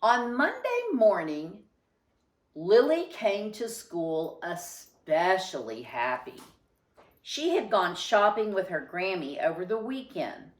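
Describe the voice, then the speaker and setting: A woman reading a children's story aloud with expressive, deliberate and emphatic phrasing. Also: medium pitch, far from the mic. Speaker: storybook reader. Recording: roomy, echoing sound.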